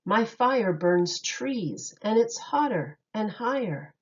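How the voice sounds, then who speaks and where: Woman reading to children, slow and very expressive. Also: medium pitch, lo-fi sound. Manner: sing-song, expressive, clearly phrased for young children. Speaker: teacher reading a picture book aloud. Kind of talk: read-aloud of a rhyming children's story.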